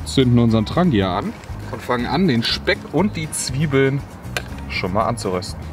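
A young man casually talking through cooking at his outdoor camp, relaxed and chatty with many filler words, recorded on a camera at arm's length. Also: medium pitch, normal speed, over music. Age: young adult. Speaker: outdoor vlogger. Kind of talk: casual cooking vlog narration. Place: outdoors in a windy wood in rain. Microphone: camera mic at arm's length. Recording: outdoor wind and rain noise. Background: wind and rain.

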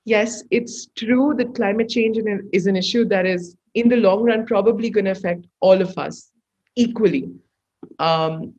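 A man's voice: high voice, darkish tone, lo-fi sound. A teenage boy speaks earnestly as a youth panelist. He is indoors on a video call, delivering his points like a prepared speech. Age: teens.

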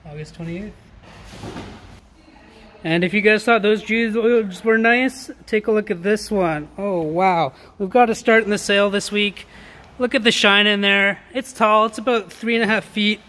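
A man's voice: high-pitched, bright, forceful tone. A man presenting auction items in an informal promotional walkthrough, with enthusiastic, slangy sales patter. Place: large warehouse showroom, indoors. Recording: noticeable background noise in warehouse.

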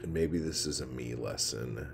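A man in his forties vlogging at home, rambling and swearing as he vents his frustrations and low mood. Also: normal speed, creaky. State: feeling low and tired. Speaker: poet vlogger. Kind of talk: casual confessional vlog. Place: indoor room with ceiling fan. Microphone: phone camera held low, close. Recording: noisy phone recording.

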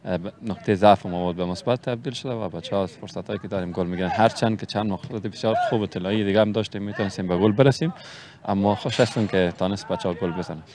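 A man in his thirties with an Afghan Dari accent, a head coach answering interview questions outdoors on a handheld mic, earnest with hesitant pauses. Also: sits low, a crowd in the background. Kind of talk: post-match interview. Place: outdoor pitchside backdrop.

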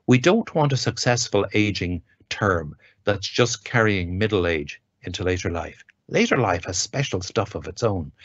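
A man's voice: deep voice, slightly rough, no echo. A man giving an academic lecture from a home study over a webcam, speaking with enthusiasm and pointed emphasis. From Ireland. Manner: enthusiastic, reads quotes aloud with emphasis. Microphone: webcam on a video call. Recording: dull lo-fi video call audio, dry.

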